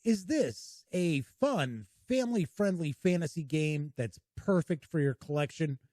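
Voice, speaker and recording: Folksy, animated man hosting a game review. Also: on the high side, a little slow, dry recording, studio quality. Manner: folksy, chatty and welcoming. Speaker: board game reviewer and channel host. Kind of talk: casual board game review to camera. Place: small home studio.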